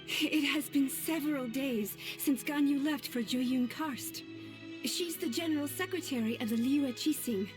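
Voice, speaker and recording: A woman's voice: high-pitched, a little slow. A woman playing a worried, conscientious young secretary in a video game, polite and earnest. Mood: concerned. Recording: music playing behind.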